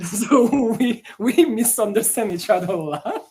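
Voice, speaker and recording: A man with a Korean accent, a co-writer talking in a casual live stream, hesitant and soft-spoken, often pausing on "uh" and laughing easily. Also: high voice, expressive. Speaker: co-writer of a musical.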